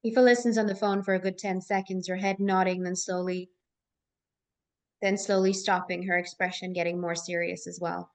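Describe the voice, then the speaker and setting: A woman's voice: fairly low pitch, little pitch movement, moderate pace. A woman narrating stage directions in a scripted live table read.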